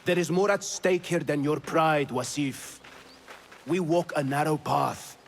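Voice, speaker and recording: An actor playing a wary governor in a video game, stern and cautioning, with a creaky voice. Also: male voice, a little high, a little slow, a little hiss or hum. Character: wary governor admonishing a proud warlord.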